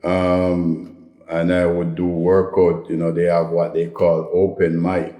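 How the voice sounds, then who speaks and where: A deep, mellow-voiced Jamaican man spins stories from his comedy career at an easy, rambling pace. He often tags his lines with "right?" and "you know". The room around him is echoey. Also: monotone, slow. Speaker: comedian guest. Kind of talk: relaxed interview storytelling.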